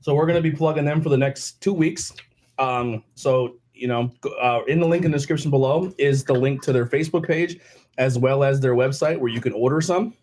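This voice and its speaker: A hoarse young man hosting a casual podcast from a home kitchen, rambling with lots of fillers. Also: mid-range voice, brisk, a little mellow. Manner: rambling, loose, many fillers. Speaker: podcast host. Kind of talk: casual chat. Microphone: headset and desk mic.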